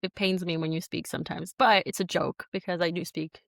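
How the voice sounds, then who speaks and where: A woman's voice: fairly low pitch, a little fast, gravelly, no echo. American woman, spanish her first language, chatty interview guest, lots of likes, dry close sound. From United States. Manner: chatty and animated, many likes and you-knows. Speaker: guest, studio founder and CEO. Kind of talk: casual conversational interview.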